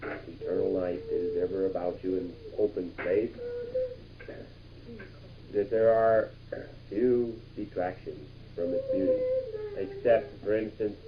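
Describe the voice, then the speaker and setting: A brisk, dramatic rocket commander played by a man with a low voice, announcing in mock-official style for a homemade radio play. The 1960s home tape is muffled, with plenty of noise over it. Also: huge pitch swings, exaggerated voice. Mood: solemn narrating. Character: brisk male astronaut commander running a rocket launch. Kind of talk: improvised pretend radio play.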